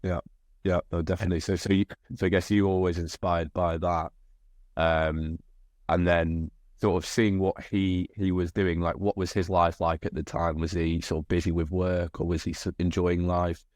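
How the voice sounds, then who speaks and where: A young male host on a video call, his voice very deep and level. The sound is dry, and he circles around his questions, restarting and padding them with fillers. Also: little pitch movement, normal speed, a little mellow, no echo. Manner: rambling questions with many fillers. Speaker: podcast host. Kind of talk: interview questions. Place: indoor room.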